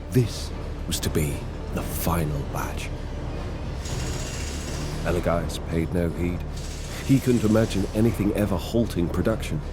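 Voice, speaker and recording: A man performing scripted dramatic narration of a grim science fiction story in a measured, deliberate way. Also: low voice.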